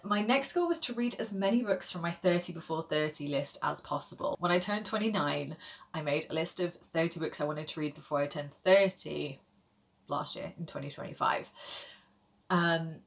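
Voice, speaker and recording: Low-voiced chatty woman in her thirties. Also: moderate pace. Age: 30s. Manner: chatty, rambling, frequent fillers and self-deprecating laughs. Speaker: book vlogger. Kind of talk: casual chat to camera.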